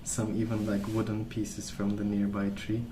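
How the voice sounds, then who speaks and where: A man speaking softly as an ASMR host, rambling through stories in a hesitant, pausing, unhurried way. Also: fairly low pitch, completely flat delivery, noisy background. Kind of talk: soft-spoken ASMR rambling.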